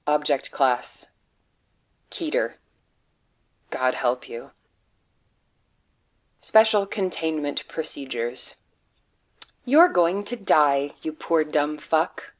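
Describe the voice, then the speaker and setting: A woman playing a jaded, doomed field agent in a horror audio drama, blunt, bitter and sardonic. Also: on the low side. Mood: grim, bitter.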